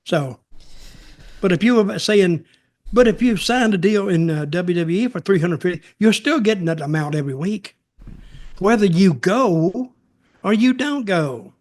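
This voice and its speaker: A man's voice: sits high, expressive, a little slow, darkish tone. A man co-hosting a podcast, giving casual opinions in a blunt, sarcastic, folksy way. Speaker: podcast co-host, wrestling commentator.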